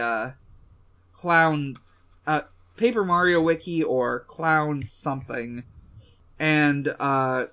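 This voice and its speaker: Guy podcast host w a slightly high bright voice, kinda halting, casual recap chat. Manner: halting, hesitant delivery.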